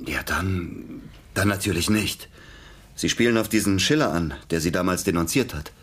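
A male actor playing a nervous, hesitant accomplice with a conscience, halting and easily indignant, in a radio crime comedy. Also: low voice, animated, slightly rough. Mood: eager, earnest.

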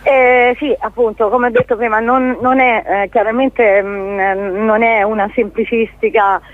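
Female theatre guest, high pitch, halting spontaneous answers, thin phone-call sound. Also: flat delivery, dry recording. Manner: hesitant, searching for words, repeats words and trails off. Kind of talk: spontaneous radio interview. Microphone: telephone call to a radio station. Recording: tinny, narrow phone line.

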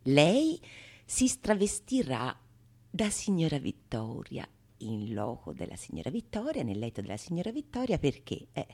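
An actress plays a sly go-between in a bawdy Renaissance stage comedy. She is a woman who schemes out loud, teasing and conspiratorial, with florid archaic theatrical phrasing. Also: deep voice, huge pitch swings, moderate pace, exaggerated voice. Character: sly bawdy scheming go-between, plotting pranks on a foolish lover. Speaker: stage actress performing all parts.

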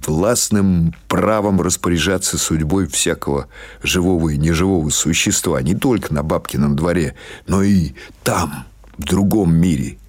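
A man reading literary prose as an audiobook narrator, in a measured, dramatic storytelling manner. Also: fairly low pitch, a little mellow.

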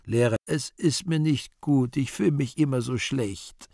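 A man's voice: medium pitch, measured pace, warm tone, no room sound, clean studio sound. A man playing a gaunt, servile schoolteacher in a radio play, pitiful and deferential, with a choked voice. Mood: miserable. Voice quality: husky, choked voice. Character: gaunt, hungry, embittered male schoolteacher, miserable and servile.

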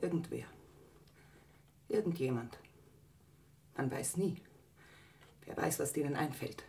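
A woman's voice: deep voice, takes it slowly, vocal fry, mellow, slight background noise, full character voice. An actress playing a guilt-ridden, bitter middle-aged mother in a German radio drama, anguished and fearful in manner.

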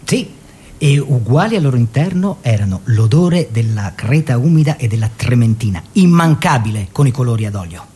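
Male actor as artist and mentor-lover: earnest, declamatory, measured, wide swoops from low to high, theatrical radio drama. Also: very animated, warm tone, close to an everyday voice. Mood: descriptive, lyrical. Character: earnest male painter, lover and teacher of his model.

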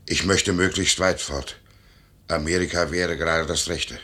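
A man playing a quiet, gentle, resigned husband in a German radio play, speaking with grave restraint. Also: a bass voice, fairly even intonation, unhurried, breathy edge, exaggerated voice. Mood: wistful, restrained.

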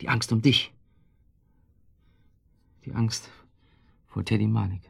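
Gravelly, brooding guilt-worn husband. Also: male voice, lowish voice, slow, heavily acted. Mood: weary, confessional. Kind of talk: German radio drama acting.

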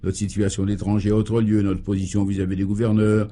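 A man's voice: lowish voice, little pitch movement, brisk, mellow, close to an everyday voice. A man voicing a polite, hesitant young male adventurer in a comic radio serial, muted, tentative and formal.

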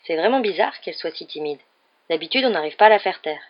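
A woman's voice: on the low side, lively intonation, quick pace, highs cut off. A woman voicing a friendly, eager young wizard boy in a storybook, casual and a little self-conscious. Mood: confiding.

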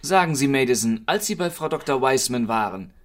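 An actor playing a composed, probing gentleman detective in a radio drama, with a measured, questioning and politely insistent manner. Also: medium pitch, expressive, lightly acted.

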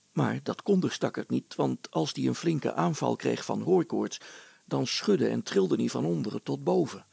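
A man's voice: mid-range voice, creaky, a little mellow, husky, dry recording. A man narrating a scripted children's story as a storyteller.

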